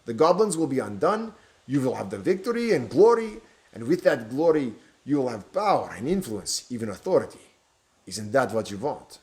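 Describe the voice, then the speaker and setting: A man's voice: mid-range voice, big pitch swings, normal speed, darkish tone. A man voicing a thin, pale, sinister stranger with a Romanian accent, speaking in a cold, hard, hissing way. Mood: menacing.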